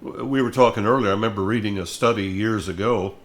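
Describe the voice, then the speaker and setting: A man's voice: fairly low pitch, fast talker. An older man in reflective conversational talk, telling things in a thoughtful, plainspoken way. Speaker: music minister and host.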